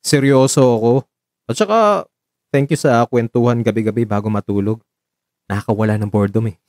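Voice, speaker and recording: A man's voice: mid-range voice, fairly even intonation, brisk, mellow, dry recording, close to an everyday voice. Actor as teasing, flustered young Filipino man, close-miked. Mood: warm, teasing. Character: teasing, playfully flustered young man hosting his date at home. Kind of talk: Tagalog radio drama dialogue, conversational.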